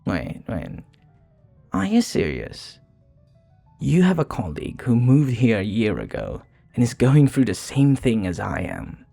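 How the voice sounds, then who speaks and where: A man's voice: medium pitch, wildly swooping pitch, a little slow, creaky, dark tone, faint music behind, full character voice. A man playing a charming, sincere vampire bartender who flirts tenderly and hesitantly in an intimate ASMR roleplay. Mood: hopeful, grateful.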